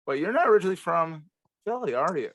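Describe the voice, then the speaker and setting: A man's voice: fairly high pitch, big pitch swings, quick pace, a bit bright, no echo. A man hosting a podcast, interviewing in a casual, conversational way. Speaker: podcast host.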